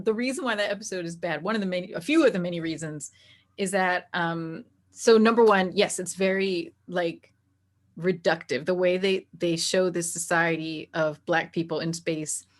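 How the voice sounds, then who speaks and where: A woman talking casually as an interview guest, animated and opinionated, quick to laugh. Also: on the low side. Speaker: interview guest, tabletop game master.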